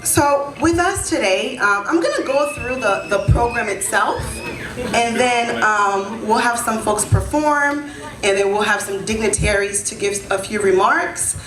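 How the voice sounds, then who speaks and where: A woman's voice: on the high side, measured pace. A woman in her thirties, born in Haiti and raised in Florida, hosting a community heritage event and speaking to the crowd in a conversational way, often asking "right?". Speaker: event host and senior advisor. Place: event hall. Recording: echoey hall.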